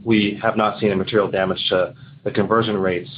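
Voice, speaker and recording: A man speaking as a company executive on an earnings call, halting and repeating his words as he answers. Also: on the low side, flat delivery. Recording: muffled conference line, faint noise.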